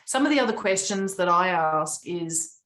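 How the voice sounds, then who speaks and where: Earnest lower-voiced woman coach. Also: animated, normal speed, fairly bright tone. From Papua New Guinea. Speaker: coach hosting a group Q&A. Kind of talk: coaching talk on a video call. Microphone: video call.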